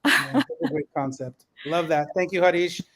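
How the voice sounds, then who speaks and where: A man's voice: a little high, moderate pace, a bit bright. A man moderating a podcast panel, talking earnestly and conversationally as a parent about learning.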